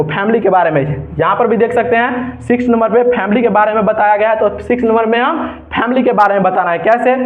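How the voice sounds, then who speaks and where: A man from India teaching spoken English, explaining step by step and repeating example phrases slowly. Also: sits high. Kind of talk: spoken English lesson in Hindi. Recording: echoey room, tinny phone-like sound.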